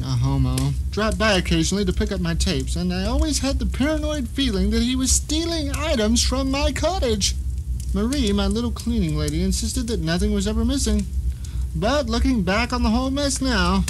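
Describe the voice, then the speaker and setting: High-pitched, bitter blind novelist, acted by a man. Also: poor audio. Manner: wry, grumbling, theatrical storytelling with dramatic pauses. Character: bitter, paranoid blind male novelist telling his nightmare. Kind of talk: first-person dramatic audio drama narration. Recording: noticeable rain and ambient noise behind.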